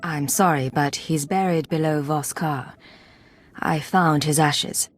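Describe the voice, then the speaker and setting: Resolute woman agent for a sci-fi game, lower register, composed and to the point. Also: steady tone, takes it slowly, faint music behind. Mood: firm. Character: composed, resolute female Imperial secret agent. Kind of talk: dramatic video game acting.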